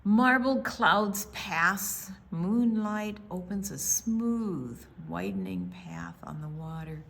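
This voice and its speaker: Slow, expressive American woman reading a storybook. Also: medium pitch, creaky. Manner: dramatic pauses, hushed asides, emphatic delivery for young children. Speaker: children's storytime reader at a library. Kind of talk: read-aloud picture book storytelling.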